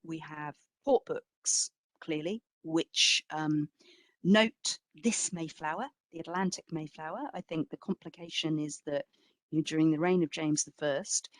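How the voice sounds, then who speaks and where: Low-voiced woman, thoughtful expert speaker. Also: no room sound. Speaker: exhibition curator giving a talk. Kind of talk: informal expert answer in a public history talk.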